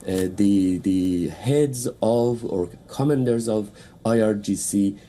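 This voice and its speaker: A man from Iran speaking English with a Persian accent, a political analyst giving a halting live news interview full of fillers and restarts. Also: on the low side, dark tone.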